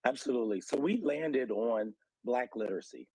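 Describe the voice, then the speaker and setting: An American man with a somewhat husky voice at a middle pitch, recorded close and dry. He speaks as an interview guest, explaining things in an earnest, articulate way, like an advocate laying out evidence. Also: expressive, moderate pace, darkish tone, no room sound, poor audio. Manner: earnest, articulate advocate citing research and figures.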